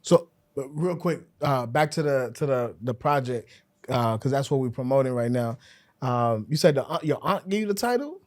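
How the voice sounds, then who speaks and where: A man hosting a casual interview, putting his questions together in halting pieces. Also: medium pitch, animated. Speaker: podcast host, interviewer.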